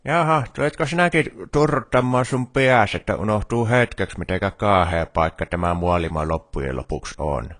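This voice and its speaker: A man's voice: mid-range voice, fairly bright tone, no room sound, studio quality. A man voicing a grumbly, teasing village shopkeeper in a video game, with a northern Finnish dialect and a drawling, hesitant delivery. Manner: folksy, drawling, hesitant stammered starts. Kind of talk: video game character dialogue.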